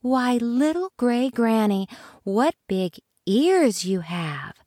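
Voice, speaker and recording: Woman playing a clever little girl, sweet and slow, high bright voice, lively, dry. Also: dry recording. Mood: coy. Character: clever young girl, coolly polite.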